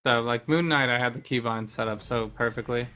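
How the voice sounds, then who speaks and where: A man's voice: mid-range voice, fairly even intonation, a little fast, bright, forceful tone. A man giving casual gameplay commentary as a streamer, explaining things in a conversational way. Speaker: game streamer.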